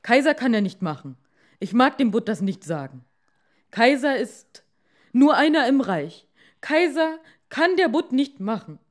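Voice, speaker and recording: A woman's voice: a little high, very animated, a little slow, voice pushed up. A woman voicing a meek, henpecked fisherman in a fairy tale, plaintive, sighing and hesitant. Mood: exasperated protest. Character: meek, reluctant old-tale fisherman husband, henpecked and troubled.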